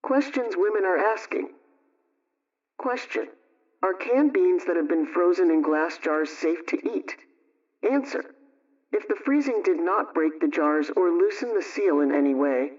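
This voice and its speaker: A man reading scripted household advice and recipes in a measured, instructional way, like a 1920s radio programme host. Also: fairly high pitch, expressive, darkish tone. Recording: dull, distant vintage radio sound.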